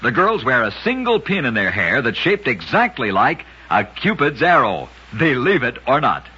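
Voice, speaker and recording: A man narrating strange true facts in a scripted old-time radio style, with a brisk, dramatic storytelling cadence. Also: mid-range voice, sing-song, pushed and bright, breathy edge, lo-fi sound.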